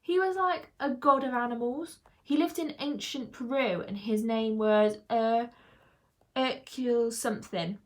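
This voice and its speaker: Woman voicing a cheeky, high-pitched magic toy llama. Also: measured pace, lightly acted. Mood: chatty, boastful. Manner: chatty, exclamatory, slangy. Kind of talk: children's audiobook character voice.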